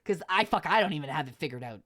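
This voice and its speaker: A woman's voice: deep voice, animated, fast talker, fairly bright tone, dry recording, clean studio sound. A woman streamer giving advice to her viewers in informal livestream chat, repeating her points for emphasis.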